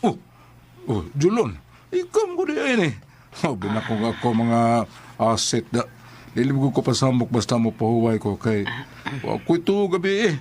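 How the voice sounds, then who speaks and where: A man with a Cebuano accent playing a gruff, menacing police officer who blusters and interrogates in a comic audio drama. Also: mid-range voice, wildly swooping pitch, moderate pace, darkish tone, a little hiss or hum. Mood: irritated, menacing. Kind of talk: comic Filipino radio-style drama acting.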